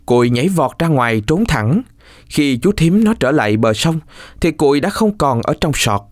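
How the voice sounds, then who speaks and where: A man narrating a children's folk tale from a script in an expressive, measured storytelling manner. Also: on the high side, no echo, clean studio sound.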